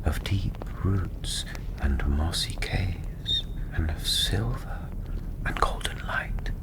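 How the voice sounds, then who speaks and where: Deep, slow, whispery male ASMR storyteller. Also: poor audio. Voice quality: soft, breathy, often near a whisper. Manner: gentle, soothing, unhurried with long pauses. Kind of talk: soft-spoken ASMR fantasy storytelling.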